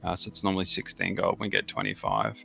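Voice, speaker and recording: A man's voice: low-pitched, big pitch swings, fast talker, gravelly, pushed and bright, faint music behind. A man with an Australian accent hosting a strategy game session, explaining settings and bonuses in detail with frequent fillers. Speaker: gaming channel host. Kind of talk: casual gameplay commentary.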